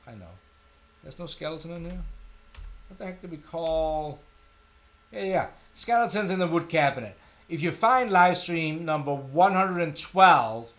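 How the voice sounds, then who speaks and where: A middle-aged man from Denmark with a Danish accent, hosting a casual live software tutorial, thinking aloud in an informal, self-deprecating way. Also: on the high side. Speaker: tutorial live stream host.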